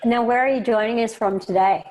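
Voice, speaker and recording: A woman's voice: fairly high pitch, fairly even intonation, a little fast, darkish tone, rough recording. A woman hosting an interview podcast, presenting and putting questions to a guest. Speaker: podcast host. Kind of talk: scripted introduction and interview questions.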